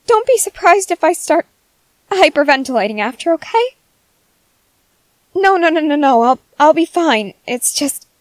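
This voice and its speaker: A woman's voice: high voice, no echo. A young woman playing a shy, earnest young woman in a romance roleplay audio, who stammers and speaks in polite, formal phrases. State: crying with happiness.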